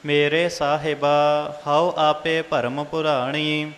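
A man reciting Sikh scripture in a measured, sing-song devotional chant. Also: fairly high pitch, little pitch movement, fairly bright tone. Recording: long hall reverb, music behind.

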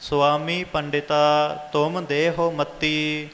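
A man reciting Sikh scripture in a measured, sing-song devotional chant. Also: a little high, little pitch movement, fairly bright tone, a little hiss or hum. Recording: long hall reverb, music behind.

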